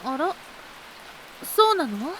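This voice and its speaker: A woman's voice: high voice, sing-song, slow, noisy background, heavily acted. A woman voicing a teasing, seductive, spiteful adult woman in a Japanese audio drama, with a coy, taunting delivery. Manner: coy, taunting, breathy giggles between phrases.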